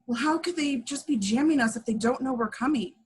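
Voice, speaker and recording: A woman playing an urgent, brisk rebel pilot commander in a film script table read. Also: high voice, breathy edge. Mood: tense.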